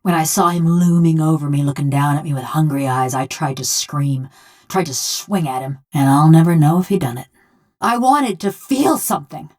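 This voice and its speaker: A woman's voice: low-pitched, normal speed, a little mellow. An actress playing a bitter, vengeful female killer trapped in a metal body, speaking folksy rustic game dialogue in a wry, brooding way. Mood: haunted, vengeful. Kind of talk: scripted video game character dialogue.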